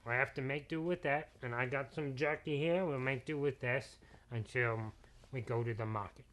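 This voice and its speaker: A kind, practical woodsman father, as a storyteller voices him, speaking in an even, reassuring, fatherly tone. His words are plain and steady, the way he talks his daughter through the day in this storybook tale. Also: medium pitch, moderate pace, close to an everyday voice.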